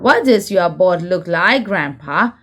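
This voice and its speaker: A woman's voice: on the low side, lively intonation, measured pace, fairly bright tone. A woman voicing an inquisitive, earnest little girl piglet in a children's storybook, with a questioning, childlike manner. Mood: curious.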